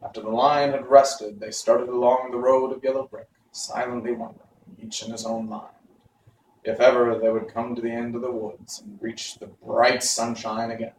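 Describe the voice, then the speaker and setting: A man narrates a children's story at a medium pitch. The recording is roomy and slightly distant, with faint background noise. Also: little pitch movement, normal speed. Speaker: story reader. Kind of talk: reading a children's novel aloud.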